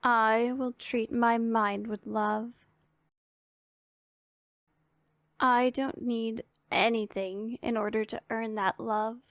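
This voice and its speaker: A woman's voice: a little high, flat delivery, slow, dry recording, clean studio sound. A woman playing a powerful female demon who is commanding yet secretly caring, speaking with formal, imperious authority in an ASMR roleplay. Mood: firm, commanding. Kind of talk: scripted ASMR roleplay addressed to the listener.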